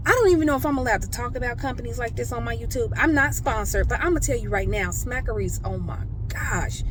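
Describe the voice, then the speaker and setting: An American woman chats to her viewers in a fairly high voice. She tells her story in an animated, emphatic way, often tagging points with "okay?" There is clear background noise, like being in a car. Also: rough recording. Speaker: vlogger.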